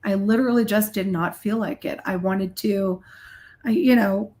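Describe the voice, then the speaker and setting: A woman's voice: mid-range voice, moderate pace, dark tone. Candid middle-aged woman chatting casually. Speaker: guest, rock guitarist and recording artist. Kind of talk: casual interview chat.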